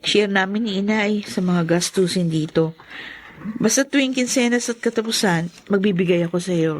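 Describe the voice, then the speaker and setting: A woman's voice: mid-range voice, normal speed, lightly acted. A woman playing a conciliatory, dutiful young working Filipino woman in an audio drama. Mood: appeasing. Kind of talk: Filipino radio-style drama acting.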